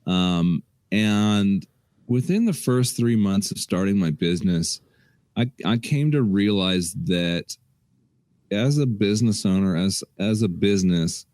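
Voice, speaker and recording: Very deep-voiced man co-hosting a podcast. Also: a little slow, no room sound. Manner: relaxed, rambling, restarts a lot. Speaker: podcast co-host. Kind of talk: casual chat.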